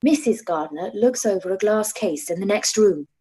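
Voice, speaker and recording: A woman narrating stage directions for a live-read radio play, calm and even. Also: mid-range voice, normal speed, slightly rough.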